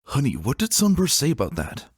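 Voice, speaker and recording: A man voicing a wounded soldier pony father, patient, weary and gentle, in a dramatic story reading. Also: mid-range voice, huge pitch swings, fairly quick, slightly rough, no echo, studio quality, pitched above the performer's own voice, exaggerated voice. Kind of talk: dramatic fan-fiction reading.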